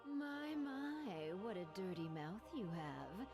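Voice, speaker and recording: A woman's voice: on the high side, sing-song, slow pace, music in the background, full character voice. A woman playing a haughty, taunting vampire villain in a video game cutscene, mocking and theatrical.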